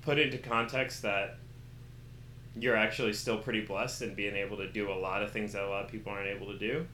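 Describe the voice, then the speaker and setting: A man's voice: on the low side, brisk. A man being interviewed, talking casually and candidly, acting out quotes and using slang as he tells personal stories. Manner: animated storytelling with acted-out quotes and slang. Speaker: interview guest. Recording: roomy echo with faint background noise.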